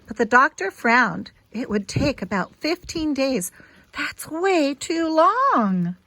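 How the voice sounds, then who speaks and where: A woman reading a children's picture book aloud in an expressive, teacherly way, with simple asides to young listeners. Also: high voice, slow pace, no echo, poor audio.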